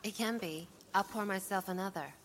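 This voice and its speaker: A woman playing an understanding lab colleague in a science fiction audio drama, speaking in a low-key, intimate way with short phrases. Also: normal speed, noisy background, natural voice. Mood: wry, familiar.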